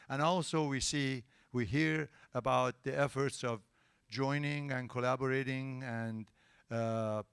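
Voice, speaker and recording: A man hosts a live meetup, polite yet firm as he keeps the room in order. His voice is smooth and resonant, picked up dry and close on a handheld mic. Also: medium pitch, no echo. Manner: polite but firm, asks the crowd for quiet. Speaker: event host and interviewer. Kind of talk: hosting a live tech meetup, then interviewing. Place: event hall with a live audience.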